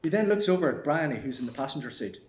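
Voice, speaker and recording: Higher-voiced male narrator. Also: animated, quick pace. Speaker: stage-direction narrator. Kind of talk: scripted narration at live table read. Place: theatre stage. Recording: roomy live theatre stage.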